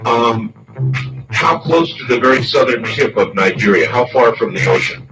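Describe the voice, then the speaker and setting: A man hosting a radio call-in show, asking slow, deliberate questions with many pauses and fillers. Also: mid-range voice, animated. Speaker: radio talk show host. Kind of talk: late-night call-in radio interview. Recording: distant voice over loud music.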